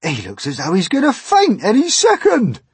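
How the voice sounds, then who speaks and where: A man's voice: high-pitched, huge pitch swings, normal speed, husky, no room sound, pitched above the performer's own voice, heavily acted. A man voicing a cheeky, boastful male centipede in a storybook, exclaiming proudly and teasingly. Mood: brash, cheery.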